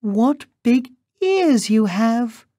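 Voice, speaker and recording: High-pitched, innocent little girl. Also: lively intonation, slow pace, a little mellow, dry recording, studio quality. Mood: wondering, alarmed. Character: innocent, polite, wide-eyed little girl in storybook.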